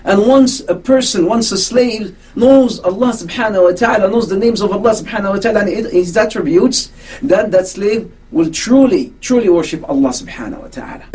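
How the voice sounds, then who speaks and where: A man's voice: sits high, brisk, darkish tone, husky, far from the mic, low-quality recording. A man giving an earnest religious lecture, stressing his key words.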